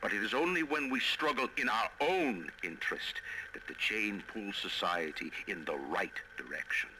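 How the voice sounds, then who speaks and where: A man's voice: on the low side, expressive, moderate pace, noisy background, lightly acted. A man playing a proud, commanding industrialist who delivers a grandiose, emphatic speech in a video game. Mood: fervent conviction. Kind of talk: recorded political oration in a video game.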